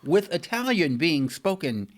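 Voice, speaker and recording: A man's voice: on the high side, big pitch swings, a little slow. An older man hosting a talk show interview from a TV studio, friendly and conversational. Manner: friendly, conversational, leading questions. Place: TV studio set. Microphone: studio boom mic.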